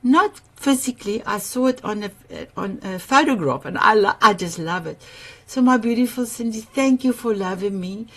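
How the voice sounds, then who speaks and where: A woman's voice: medium pitch, normal speed. A woman whose first language is Afrikaans, hosting an online summit. She talks in an effusive way, hunts for words and repeats her phrases. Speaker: summit host. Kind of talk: warm livestream summit hosting.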